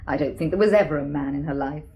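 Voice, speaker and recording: A woman's voice: sits low, fast talker, mellow, lightly acted. An actress playing a frank, sardonic woman questioned about her dead sister in a radio drama. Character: blunt worldly woman, sister of murdered woman.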